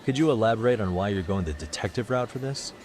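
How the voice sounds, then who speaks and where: A cool private detective voiced by a man with a lowish voice. He is direct and casual, calmly probing as in a game cutscene, with faint background noise. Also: fast talker, close to an everyday voice. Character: cool, level-headed male private detective. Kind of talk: video game cutscene acting.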